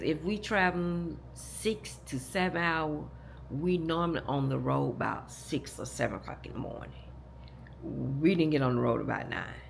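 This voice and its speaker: A hoarse, deeply gravelly woman tells her viewers a long personal story. She rambles, restarts her sentences and speaks to them directly as "y'all." A faint noise sits under the recording. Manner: rambling, repeats and restarts, talks straight to "y'all". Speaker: vlogger.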